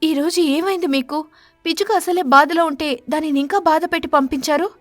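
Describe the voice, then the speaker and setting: Woman as a gentle high-voiced pigeon wife. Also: steady tone, a little fast, no echo, faint music behind, close to an everyday voice. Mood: concerned. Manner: kind, conciliatory.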